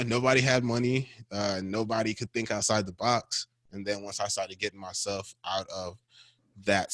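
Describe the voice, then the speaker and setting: Low-voiced man, dry and casual. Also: a bit bright, dry recording. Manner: loose and conversational, with many fillers. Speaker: panel speaker. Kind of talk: casual personal story.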